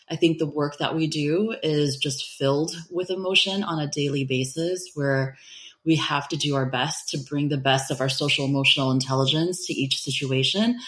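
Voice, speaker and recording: A woman with an American accent speaks thoughtfully and reflectively as an interview guest, softening her points with small qualifiers. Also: sits low. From born in Taiwan, raised in the United States from age five. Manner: thoughtful, hedging with kind of and sort of. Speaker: interview guest, public education professional.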